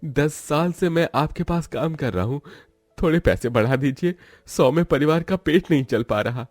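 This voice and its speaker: A man's voice: on the high side, animated, moderate pace, dry recording, soft background music, pitched below the performer's own voice. A man voicing a timid, humble poor labourer with a hesitant, pleading manner.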